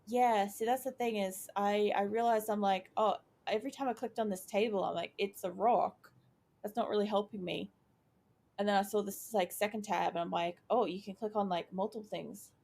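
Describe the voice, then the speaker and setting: A woman playing a video game and chatting as she works it out, excited by each small success, her voice going croaky. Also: mid-range voice, moderate pace. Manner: chatty, thinking aloud, easily excited. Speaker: gaming YouTuber. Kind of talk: casual let's-play commentary.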